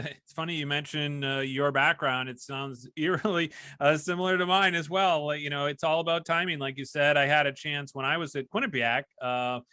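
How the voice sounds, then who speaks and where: A man's voice: on the high side, bright, forceful tone, no echo, clean studio sound. A man hosting a casual interview, chatty and fond of telling anecdotes as a fellow sports broadcaster. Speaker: podcast host and hockey broadcaster.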